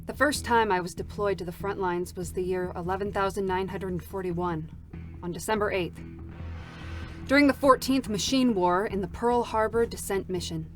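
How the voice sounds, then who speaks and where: A woman's voice: mid-range voice, faint music behind, lightly acted. A woman voicing a world-weary, bitter android soldier haunted by loss, in a grave, dramatic game-story reading. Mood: grim, weary. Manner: slow, brooding, grave delivery. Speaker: voice actor.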